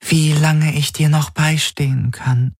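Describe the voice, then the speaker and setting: A sad, glassy female spirit who is slowly fading away, put on by a male audiobook narrator. She speaks slowly and gently, her voice lifted high and often sinking to a whisper. The recording is dry and close. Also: little pitch movement, breathy edge, no echo, exaggerated voice. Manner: soft, pleading, partly whispered.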